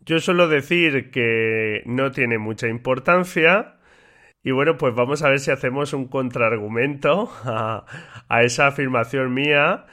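A man hosting a solo podcast in a relaxed, chatty way with many fillers, his voice slightly stuffy and congested from a cold. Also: medium pitch, measured pace. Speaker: podcast host. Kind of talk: casual solo podcast talk.

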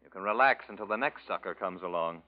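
A man's voice: low-pitched, fast, lightly acted. An actor playing a cynical, scornful young man in an old radio drama, sarcastic and mocking. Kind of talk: 1940s radio acting. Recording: dull old radio recording.

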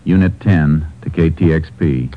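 An actor playing a steady, authoritative Texas Ranger with an American accent, terse and matter-of-fact in an old-time radio drama. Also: male voice, a bass voice, unhurried. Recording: dull vintage radio recording.

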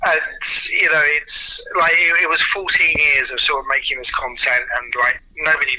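A middle-aged British man with a very bright, forward voice talks as an interview guest. He is chatty and self-deprecating, telling anecdotes in a casual way. The sound is a little thin, like radio or a phone line. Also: a little high, fairly even intonation, moderate pace, dry recording. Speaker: interview guest, audio drama producer and writer.

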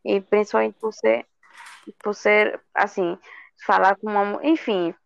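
A singer from Recife, chatting easily as a podcast guest. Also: female voice, medium pitch, measured pace, vocal fry, no echo, highs cut off. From Recife, Brazil. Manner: relaxed, says "menino" and "sabe?". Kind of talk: casual interview chat.

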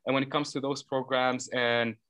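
A man in his thirties from Lebanon, answering interview questions earnestly and at length, with many fillers and quick self-corrections. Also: medium pitch, level tone, a bit bright, no echo. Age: 30s. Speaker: program founder interviewed as guest.

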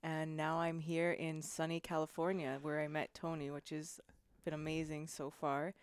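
A woman co-hosts a podcast in a slightly low, smooth and resonant voice. She talks in an easygoing way and giggles often. The sound is dry and close. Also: little pitch movement, normal speed, no room sound. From Canada. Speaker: podcast co-host. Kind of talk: casual podcast chat.

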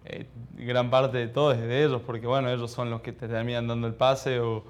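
A young Argentine man of around twenty answers interview questions in a smooth, clean voice. His intonation stays fairly flat, and he speaks modestly and haltingly, like a young athlete unused to talking about himself. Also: medium pitch. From Argentina, childhood in Ecuador. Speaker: professional footballer being interviewed.

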